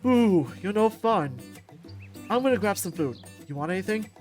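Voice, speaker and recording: A woman's voice: mid-range voice, lively intonation, normal speed, a little mellow, soft background music, natural voice. A woman voicing a huge, boisterous male beast fighter in a visual novel, jeering and commanding with a showman's swagger. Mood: casual.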